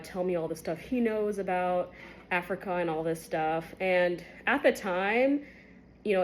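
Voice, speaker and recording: Low-voiced young woman telling a story. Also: a little hiss or hum. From Kenya. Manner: candid, conversational, many fillers, rising pitch when recounting shocking moments. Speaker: medical resident. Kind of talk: storytelling to camera.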